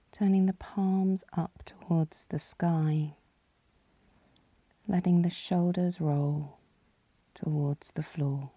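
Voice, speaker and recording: A woman leading a guided yoga nidra relaxation as the guide, calm and soothing, with a soft, breathy, husky voice. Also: lowish voice, dry recording. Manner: calm, soothing, gently instructing.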